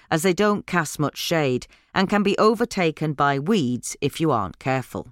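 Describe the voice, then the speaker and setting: A narrator reading a gardening article aloud in a measured, instructional manner. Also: medium pitch, fairly bright tone, no echo, clean studio sound.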